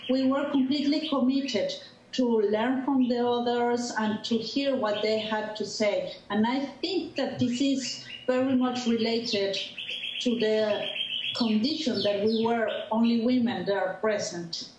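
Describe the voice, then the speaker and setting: A woman's voice: fairly high pitch, measured pace, a little mellow. Mexican lady chatting thoughtfully, spanish accent from mexico, muffled roomy audio w some noise. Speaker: conference participant. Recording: dull, echoey, noisy online recording.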